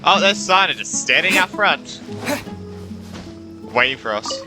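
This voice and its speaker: A man's voice: on the high side, lively intonation, measured pace. A young man giving casual, informal and rambling commentary as he plays through a video game. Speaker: gaming YouTuber. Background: game music.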